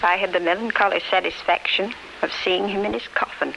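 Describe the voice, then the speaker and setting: An actress playing a solemn, grieving friend, grave and formal, in 1930s radio drama style. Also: female voice, mid-range voice, steady tone, a little fast, vocal fry, bright, forceful tone. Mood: mournful. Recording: noisy, scratchy 1939 radio recording.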